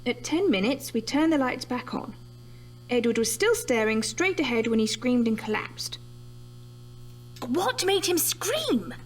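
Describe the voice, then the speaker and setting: A woman's voice: a little high, very animated, unhurried, slight background noise. An actress playing a sceptical, analytical woman scientist in an audio horror drama, insistent and matter-of-fact. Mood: methodical. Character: brisk, sceptical female scientist reviewing a death.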